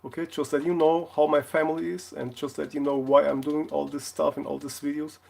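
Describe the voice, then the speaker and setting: A man from Portugal, chatting casually on a live stream in a jokey way, often halting to search for words. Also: a little high, flat delivery, gravelly, darkish tone. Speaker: live streamer, console repair video maker.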